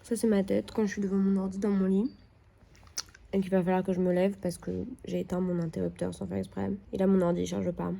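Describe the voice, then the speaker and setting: A woman's voice: mid-range voice, level tone, fairly quick, gravelly, mellow. A young woman with a Parisian accent, chatting casually to the camera in her apartment, slangy and self-mocking. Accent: Parisian French. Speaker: vlogger. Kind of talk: casual vlog chat. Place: apartment, indoors.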